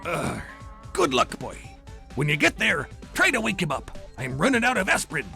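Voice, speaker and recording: A man voicing a crusty old male crab boss in a cartoon game, gruff, grumbling and gravelly. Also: fairly high pitch, huge pitch swings, moderate pace, pushed and bright, soft background music, heavily acted. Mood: exasperated.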